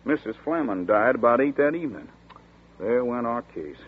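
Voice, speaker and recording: An actor playing a chatty small-town sheriff's deputy who talks in a folksy rural dialect, in 1940s radio acting. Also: male voice, mid-range voice, expressive, moderate pace, slightly rough. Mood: storytelling. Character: folksy drawling small-town male deputy, middle-aged. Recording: tinny scratchy 1940s radio broadcast.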